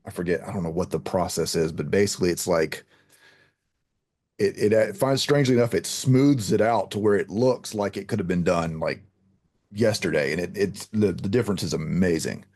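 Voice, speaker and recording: A man's voice: sits low, normal speed, a little mellow. A man hosting an interview in casual chat, rambling and hesitant as he circles toward each question. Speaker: podcast host, interviewer.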